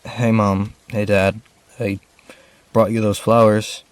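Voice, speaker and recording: Man playing a low-voiced, lonely teenage orphan. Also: level tone, takes it slowly, clear, resonant tone, no echo. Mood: wistful, reflective. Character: lonely, grieving, earnest orphaned rich teenage boy. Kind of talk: audio drama acting.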